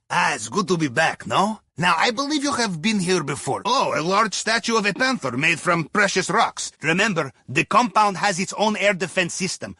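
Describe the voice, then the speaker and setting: An actor playing a genial, wry Russian ex-navy submarine captain who guides a heist in a video game, with a Russian accent and a conspiratorial manner. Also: male voice, high-pitched, pushed and bright, breathy edge, dry recording, clean studio sound, full character voice. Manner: wry, conspiratorial, tags statements with questions. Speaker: mission handler. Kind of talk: video game mission dialogue over comms.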